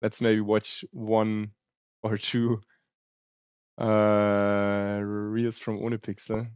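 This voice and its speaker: A man's voice: lowish voice, monotone, extremely slow, a little mellow, clear, resonant tone, no room sound, lo-fi sound. A man with a German accent chatting casually on a livestream, thinking aloud with plenty of fillers. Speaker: streamer.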